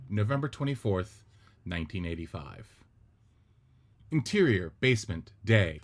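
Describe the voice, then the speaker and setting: A man hosting a podcast, reading the stage directions of a script in a steady, explanatory way. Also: on the low side, slight background noise. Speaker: podcast host and narrator.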